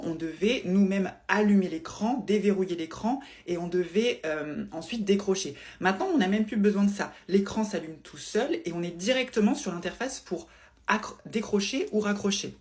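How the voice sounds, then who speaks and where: Female presenter, medium pitch, casual explainer delivery, emphatic and didactic, rephrases often. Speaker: presenter explaining phone interface design. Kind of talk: casual explainer talk to viewers.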